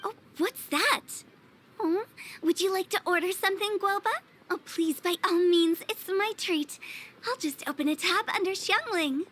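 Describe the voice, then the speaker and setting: A woman's voice: very high-pitched, normal speed, fairly bright tone. An actress playing a playful, mischievous young female director who talks in a teasing, theatrical, chirpy way in an anime game. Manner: teasing, chirpy, grandly wordy. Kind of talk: anime video game voice acting.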